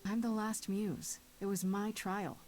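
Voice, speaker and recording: An actress playing a frank, inquisitive young muse in a story game, with natural, wry delivery. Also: female voice, mid-range voice, flat delivery, measured pace, a little mellow, slight background noise, lightly acted. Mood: thoughtful. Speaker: voice actor. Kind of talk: casual, natural game dialogue.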